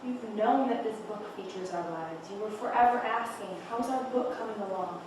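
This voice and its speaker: A woman's voice: medium pitch, normal speed, close to an everyday voice. A woman playing a defensive novelist answering a friend in a table read of a play. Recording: echoey room, far mic, clear noise.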